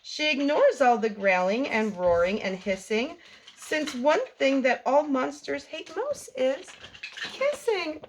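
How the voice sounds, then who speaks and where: A woman reading a picture book aloud to young children as a storytime host, in an expressive sing-song delivery. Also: high-pitched, slow, noisy background.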